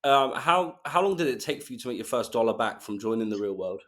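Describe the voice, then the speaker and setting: A man's voice: medium pitch, expressive, fast, a bit bright. A man hosting an interview in a casual, chatty, matey way. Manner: informal, matey. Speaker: interviewer, host.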